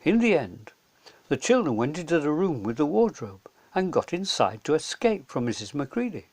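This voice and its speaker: A man narrates a children's story from a script. His pitch moves around a great deal as he reads, giving the storytelling an animated shape. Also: moderate pace. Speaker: storyteller, reader.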